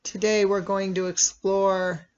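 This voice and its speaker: A meditation guide with a mid-pitched voice leads a practice slowly and deliberately. The phrases are instructional and set apart by long pauses. The recording sounds dull and lo-fi. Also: little pitch movement, darkish tone.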